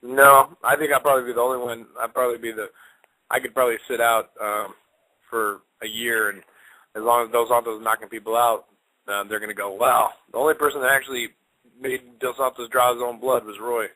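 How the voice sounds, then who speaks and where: A man's voice: mid-range voice, fairly even intonation, fairly quick, bright, forceful tone, dry recording, poor audio. A male fighter giving short, laid-back answers as a guest on a radio interview.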